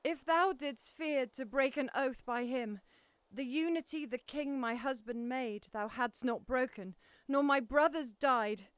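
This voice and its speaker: Brief: a woman as a defiant grieving queen, high voice, slow cutting retorts, dry studio sound. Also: clear, resonant tone, no room sound. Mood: scornful. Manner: sharp, scornful retorts. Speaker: actor in audio drama. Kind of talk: Shakespearean audio drama acting.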